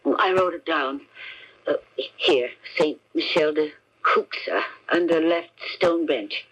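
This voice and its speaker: A woman's voice: sits low, slow pace, dull audio. A woman playing an anxious, fragile wife and mother in a 1970s radio mystery, speaking hesitantly and fretfully. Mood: nervous, relieved. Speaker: radio drama actress.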